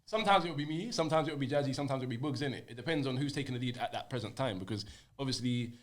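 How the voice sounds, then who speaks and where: Fast-talking slangy man. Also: medium pitch. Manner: fast, slangy, talks over others. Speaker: music group member, guest. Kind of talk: casual interview chat.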